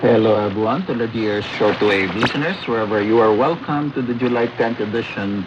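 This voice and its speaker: A man's voice: on the low side, fairly quick, highs cut off. A man from the Philippines with a Filipino accent, reading a scripted report as a radio correspondent. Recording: noisy shortwave radio broadcast.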